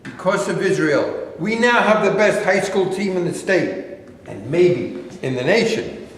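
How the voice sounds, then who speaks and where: A man reading the part of an earnest high school basketball coach in a staged play. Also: lively intonation, measured pace. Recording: echoey hall with long reverb.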